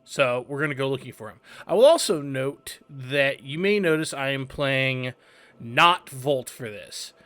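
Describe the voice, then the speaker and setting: A man's voice: medium pitch, unhurried, a bit bright, dry recording. A man hosting a casual let's-play, explaining in a chatty way with fillers and asides. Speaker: game channel host.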